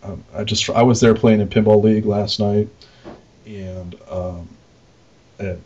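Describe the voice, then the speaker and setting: Low-voiced man rambling casually, dull recording. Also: fairly even intonation, measured pace, dark tone. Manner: rambling, informal, mildly crude slang. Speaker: podcast co-host, arcade game operator. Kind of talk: casual unscripted shop talk.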